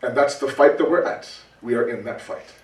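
A man giving a conversational religious talk, animated and full of rhetorical questions and repetition. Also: mid-range voice, a little fast. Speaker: preacher. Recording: roomy, distant mic.

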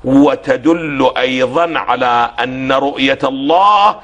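Hoarse man teaching religion on TV. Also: medium pitch, a bit bright. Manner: didactic, poses questions for the host to finish; slow, deliberate when reading quotations. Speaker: religious scholar guest. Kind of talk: televised religious lecture.

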